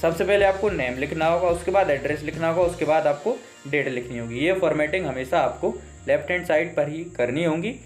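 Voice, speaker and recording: Higher-pitched Indian man teaching. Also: soft background music. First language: Hindi. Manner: instructive, repeats points, slow deliberate read-outs. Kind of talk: exam lesson, explaining step by step.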